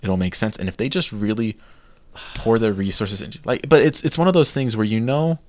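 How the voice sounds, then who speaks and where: A man's voice: on the low side, brisk, darkish tone, no echo. A man co-hosting a podcast in casual chat, eagerly building his case point by point. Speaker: podcast co-host.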